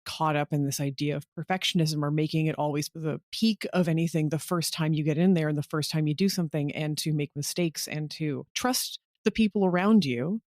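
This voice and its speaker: A woman's voice: low voice, a little fast, hardly any pauses, a little mellow, dry recording. Candid, rambling woman, dry close sound. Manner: candid, rambling, self-deprecating. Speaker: podcast creator and showrunner. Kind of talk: casual interview chat.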